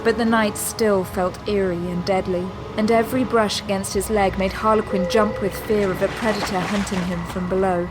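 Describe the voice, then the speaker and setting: A woman narrating a scripted audio drama in a dramatic, measured storytelling manner. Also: medium pitch, background noise. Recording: music bed behind narration. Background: music.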